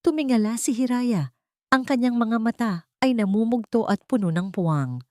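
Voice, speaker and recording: A woman's voice: on the high side, normal speed, dark tone, no room sound, studio quality. A woman narrating a dramatic scripted love story in a solemn, melodramatic manner.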